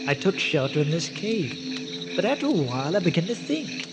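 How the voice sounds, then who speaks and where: An actor playing an earnest, eccentric castaway scientist in a radio drama, speaking formally with hesitant stammers. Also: male voice, fairly high pitch, very animated, normal speed. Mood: explaining intently. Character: eccentric, long-stranded scientist explorer, earnest and courtly. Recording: noisy radio drama, long cavernous reverb.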